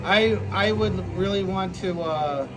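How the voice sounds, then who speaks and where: Brief: blunt, cursing gaming co-host with a fairly high voice, music running behind. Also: male voice, unhurried, poor audio. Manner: opinionated, swears, repeats points. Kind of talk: casual gaming chat.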